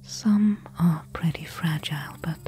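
A woman playing a careful, eager temple explorer in a soft-spoken ASMR audio roleplay, hushed and deliberate. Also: sits low, faint music behind. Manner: hushed, slow, deliberate whispering.

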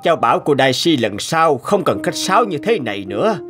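A stern, pompous old scientist from a cartoon. His brusque speech swings widely in pitch, over background music. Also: very animated, brisk. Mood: curt. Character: gruff, stern, self-important old male scientist. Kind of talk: animated cartoon dialogue.

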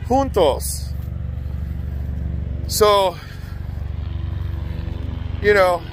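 A man's voice: sits high, lively intonation, drawn-out words, slight background noise. Rambling man vlogging outdoors. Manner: rambling, says "okay?" and "you know" a lot. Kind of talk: casual walking vlog monologue.

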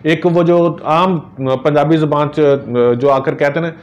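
A man's voice: fairly high pitch, a little slow. A middle-aged man, giving home remedy advice to camera as a herbalist, earnest and gesturing as he talks in a small office. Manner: earnest, gesturing, religious phrases. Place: small office with framed photos on walls.

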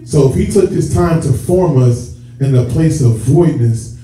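A man's voice: on the high side, animated, a little slow, warm tone, faint music behind. Muffled, echoey male preacher from far off. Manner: conversational, addresses listeners directly, stretches key words for emphasis. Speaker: preacher, church elder. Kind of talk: sermon to a congregation. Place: church sanctuary with stage and rows of seats. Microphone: far camera at back of hall.

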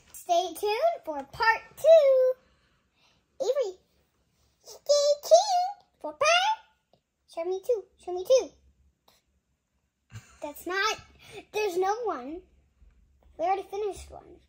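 A woman's voice: very high-pitched, sing-song, slow pace, pauses often, a little mellow. A young girl reads a picture book aloud at bedtime in a bedroom, giggling and chatting between lines, filmed on a nearby phone. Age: child. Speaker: child reading a bedtime story. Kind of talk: reading a picture book aloud, slow and sing-song. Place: bedroom at home, indoors.

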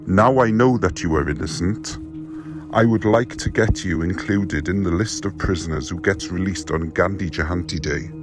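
A prison jailer, acted by a man, concerned and official in an audio drama. His voice is on the low side and sympathetic. Soft music runs faintly behind it. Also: normal speed, close to an everyday voice. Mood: reassuring.

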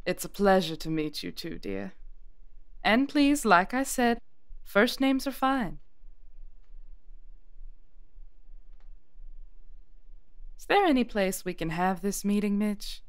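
A woman's voice: mid-range voice, sing-song, unhurried, clear, resonant tone, dry recording, clean studio sound. A woman playing a genteel, composed witch leader in an audio drama, polite yet commanding. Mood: gracious.

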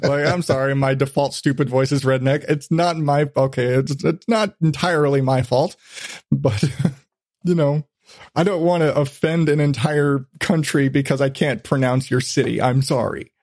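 A man's voice: mid-range voice, steady tone, moderate pace, a little mellow, no echo. A man hosting a podcast in a casual, profane chat, telling things animatedly with acted-out asides. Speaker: podcast host.

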